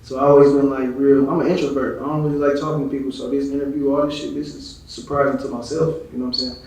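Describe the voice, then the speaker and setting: A man's voice: medium pitch, level tone, quick pace, a little mellow. A man from Chicago talking casually as an interview guest, with slangy, profane phrasing and frequent verbal tags. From Chicago, United States. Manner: slangy, profane, frequent "you know what I'm saying". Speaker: interview guest, rap artist. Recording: echoey room, distant from mic.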